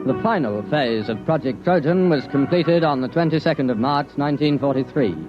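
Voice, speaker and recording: A solemn military narrator from 1950s radio, voiced by a man, formal and measured in wording. His voice is a bit high, over clear music on a dull old recording. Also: natural voice. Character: solemn male documentary-style military narrator.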